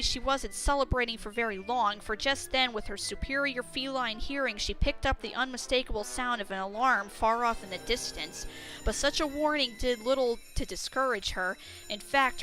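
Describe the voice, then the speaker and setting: A woman reading a scripted story as narrator, with an expressive, dramatic storytelling delivery. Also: a little high, rough recording.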